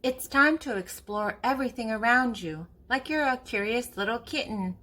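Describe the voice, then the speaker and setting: An older woman reading a picture book aloud to young children in a playful, animated way as the host. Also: fairly high pitch, moderate pace. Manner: playful, acting out animal sounds.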